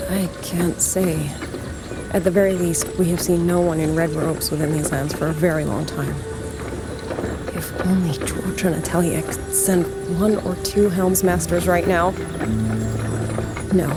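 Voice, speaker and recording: Solemn low-voiced female commander. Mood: grave, disbelieving. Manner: grave, deliberate, formal phrasing. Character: solemn female military commander, dutiful, careworn, composed. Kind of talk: video game voice acting. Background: prominent game music.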